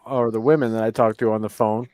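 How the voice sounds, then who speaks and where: A man's voice: fairly low pitch, fairly even intonation, quick pace, darkish tone, no echo. A man chatting casually on a live stream, tipsy and rambling, joking at his own expense. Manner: rambling, tipsy, self-mocking. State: drunk. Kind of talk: casual chat.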